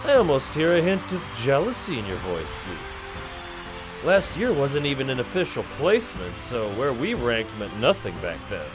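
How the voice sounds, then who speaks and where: A man's voice: mid-range voice, wildly swooping pitch, moderate pace, low-quality recording. A man playing an earnest, determined young team captain in a visual novel. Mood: defiant. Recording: voice over background music.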